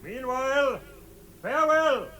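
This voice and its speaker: An actor playing a stern, noble leader of men in a formal, declamatory 1960s radio drama style. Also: male voice, extremely high pitch, lively intonation, slow, full character voice. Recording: vintage radio drama, faint music.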